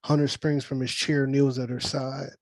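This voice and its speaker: A man narrates stage directions in a flat, even voice of medium pitch. The sound is dry and close, and the delivery is that of a scripted table read. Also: moderate pace, gravelly, dry recording.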